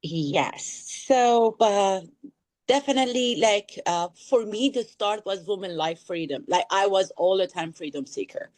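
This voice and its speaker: A woman in her thirties from Iran, speaking English with an Iranian accent on a video-call webinar panel, pausing often with many fillers. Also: medium pitch, lively intonation, a little mellow, dry recording. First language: Persian. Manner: hesitant, many fillers, non-native phrasing. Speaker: panel guest.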